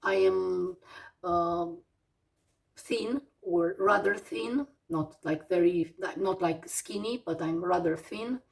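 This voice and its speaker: A Romanian woman in her forties with a Romanian accent, teaching English vocabulary slowly, with many fillers and pauses as she lists words one by one. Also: fairly low pitch, gravelly, distant mic. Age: 40s. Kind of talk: slow vocabulary lesson.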